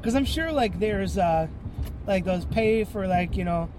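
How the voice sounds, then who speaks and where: A man with a stuffy, congested voice hosting a rambling solo podcast, often clearing his throat and sniffing, full of fillers and restarts. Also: high voice, expressive, unhurried, noisy background. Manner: rambling, many fillers and self-corrections. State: tired. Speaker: podcast host.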